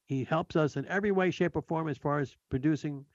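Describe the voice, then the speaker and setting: A man's voice: fairly high pitch, brisk, dry recording, highs cut off. A man hosting a radio talk show, thanking his co-hosts and team in a loose, rambling way. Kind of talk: casual radio chat.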